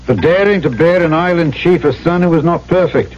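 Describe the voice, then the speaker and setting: An actor playing an earnest, courteous trader and narrator in an old-time radio drama, with a polite, measured delivery. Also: male voice, fairly high pitch, a little mellow, natural voice. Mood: sober, firm. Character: earnest, courteous male trader newly arrived on island, narrator. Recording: dull old radio recording, music behind.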